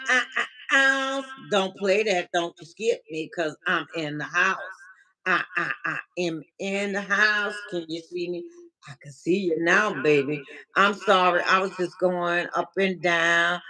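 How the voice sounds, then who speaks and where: A woman's voice: medium pitch, pushed and bright. A woman from Minnesota hosting a casual livestream. She chats and greets viewers from the chat, with frequent restarts and laughter. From Minnesota, USA. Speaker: livestream host and life coach.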